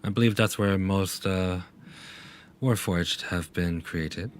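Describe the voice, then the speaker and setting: Man voicing a low-pitched, formal scholarly female librarian. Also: slow pace. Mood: pensive, helpful.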